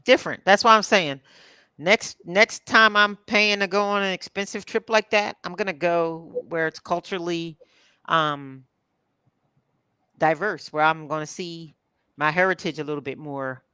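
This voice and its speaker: Chatty woman from philly, smooth clear voice, real laid-back talk. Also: fairly low pitch, dry recording, clean studio sound. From Philadelphia. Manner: relaxed, chatty, drawn-out fillers. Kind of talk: casual chat.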